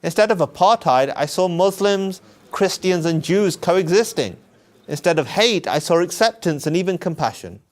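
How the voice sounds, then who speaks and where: A British man delivering a scripted personal testimony as narration, earnest and emphatic, with deliberate phrasing. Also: sits high, expressive. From England.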